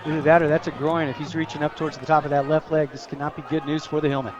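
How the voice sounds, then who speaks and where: A man's voice: on the high side, flat delivery, racing, muffled recording. An American man giving conversational color commentary on a live football radio broadcast. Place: outdoor high school football stadium. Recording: loud crowd behind, outdoor stadium broadcast. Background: crowd noise.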